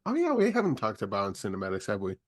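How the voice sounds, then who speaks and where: A man with a somewhat low voice co-hosts a film podcast over a video call. He speaks casually and haltingly, restarting his sentences as he recalls things. The sound is dry and close. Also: wildly swooping pitch, no room sound. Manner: hesitant, lots of fillers and restarts. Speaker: podcast co-host.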